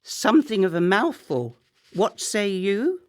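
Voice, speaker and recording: A woman playing a haughty, scornful and ambitious wife in a radio play, speaking in a measured, cutting way. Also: mid-range voice, sing-song. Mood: cool, haughty. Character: cold, scornful, ambitious wife of a failing politician.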